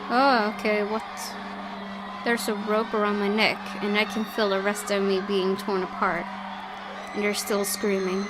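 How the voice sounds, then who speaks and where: A woman with an expressive, excitable delivery, reading a horror visual novel aloud as a let's play host and reacting to it. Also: mid-range voice, a little slow, poor audio. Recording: loud game music behind voice. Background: loud game music.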